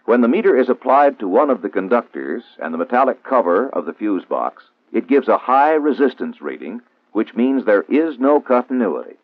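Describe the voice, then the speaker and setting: An American man reads a scripted instructional narration in a formal, measured, didactic way, in the style of a 1960s training film. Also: mid-range voice, very animated, dry recording. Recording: old 1960s film soundtrack, narrow phone-like band, dry.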